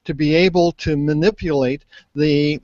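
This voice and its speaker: A man's voice: a little high, fairly even intonation, moderate pace, a little mellow, dry recording. An older man giving his opinion in a casual panel chat, speaking into a handheld microphone. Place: small room indoors on a video call. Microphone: handheld microphone held close. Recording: dull lo-fi video call audio.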